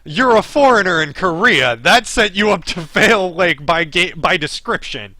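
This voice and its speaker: Bright-voiced male game streamer. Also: sits high, animated, a little slow, no room sound. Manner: chatty, digressive asides while reading narration. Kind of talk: casual game commentary mixed with reading on-screen story text aloud. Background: game music.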